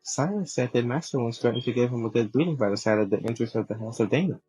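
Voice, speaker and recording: A man's voice: medium pitch, fast talker, mellow, husky, faint music behind, close to an everyday voice, poor audio. A man voicing an earnest, puzzled character in an amateur fantasy game dub, explaining himself.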